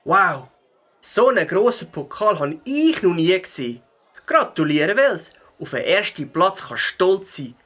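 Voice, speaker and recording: A man acting an eager, high-voiced young cyclist. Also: sing-song, measured pace, bright, forceful tone, exaggerated voice. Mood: admiring. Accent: Swiss. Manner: enthusiastic, encouraging. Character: friendly, eager young male cyclist hero. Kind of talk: children's audio drama acting.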